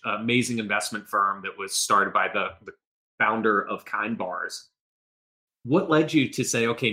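A man's voice: lowish voice, sharp tone. A man hosting a business podcast, reading an upbeat intro and then asking friendly questions. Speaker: podcast host.

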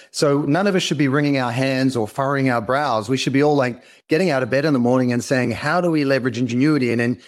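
Australian man, mid-pitched voice. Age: middle-aged. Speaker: interview guest, company founder and author. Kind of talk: polished, earnest interview storytelling.

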